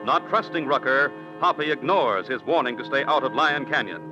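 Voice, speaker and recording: A man announcing and recapping a radio western in a measured, scripted style. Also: medium pitch, animated. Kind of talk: scripted narration. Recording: lo-fi vintage radio recording with music.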